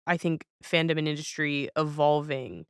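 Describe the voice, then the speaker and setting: A woman's voice: low voice, fairly even intonation, normal speed, gravelly, clear, resonant tone, dry recording, clean studio sound. A woman hosting a casual podcast interview, speaking in a reflective, agreeable and informal way.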